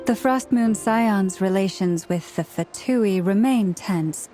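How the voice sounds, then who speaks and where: An actress playing a calm, formal priestess who speaks in a soft, measured way in a game cutscene. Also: female voice, mid-range voice, faint music behind, natural voice.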